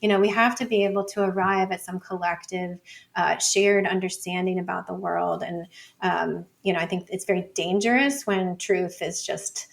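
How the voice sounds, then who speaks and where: A woman speaking as an interview guest, thinking aloud in an informal, halting and discursive way. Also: lowish voice, steady tone, creaky. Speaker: podcast guest, data and feminism scholar.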